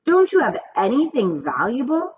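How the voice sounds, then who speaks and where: A woman's voice: fairly high pitch, huge pitch swings, moderate pace, muffled recording, a little hiss or hum, voice pushed up. A woman voicing a blustering, gullible male robber in a storybook reading, demanding and incredulous.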